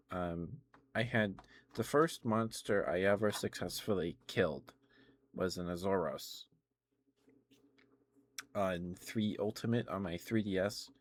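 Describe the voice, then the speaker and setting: Male streamer, lowish voice, casual ASMR rambling, hesitant, self-correcting. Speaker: live streamer. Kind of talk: casual rambling ASMR chat about video games.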